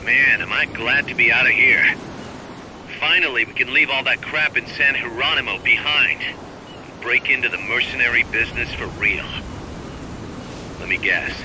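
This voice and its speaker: Game officer, male actor: mid-pitched, ambitious, businesslike right-hand man, pressing his point over background noise. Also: moderate pace, pauses often, close to an everyday voice. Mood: familiar, probing. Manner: insistent, businesslike. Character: brisk, ambitious male military second-in-command. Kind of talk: video game cutscene acting.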